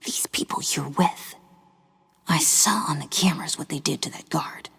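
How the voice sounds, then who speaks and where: A husky, defiant young woman. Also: sits low, normal speed, fairly bright tone, in a whisper, full character voice. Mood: accusing. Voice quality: husky, breathy. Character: angry, defiant, sharp young woman seeking truth. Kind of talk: dramatic video game acting. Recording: reverberant hall sound.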